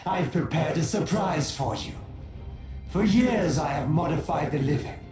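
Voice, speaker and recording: An actor playing a scheming, power-mad sorcerer villain in a video game, theatrical and menacing. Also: male voice, a little high, animated, measured pace, a little mellow, reverberant, soft background music. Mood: gloating menace.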